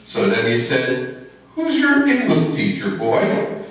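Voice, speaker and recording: A man acting a slow-witted, good-natured high school student with halting, ungrammatical speech in a radio comedy. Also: huge pitch swings. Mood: dopey, earnest. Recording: very echoey, distant room recording.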